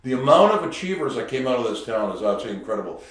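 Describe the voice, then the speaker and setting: A Canadian man hosting a podcast, chatting casually and telling brash, animated stories with plenty of swearing. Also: mid-range voice, rapid-fire. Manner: brash, animated storyteller who swears freely. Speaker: podcast host. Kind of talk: casual interview chat. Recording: very echoey room.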